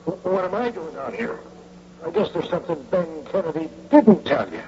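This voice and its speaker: An actor playing a folksy old bank guard, blustering and ungrammatical, in old-time radio drama style. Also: male voice, fairly high pitch, lively intonation, normal speed, warm tone, close to an everyday voice. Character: folksy male old bank guard, bumbling but menacing. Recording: noisy, muffled radio drama recording.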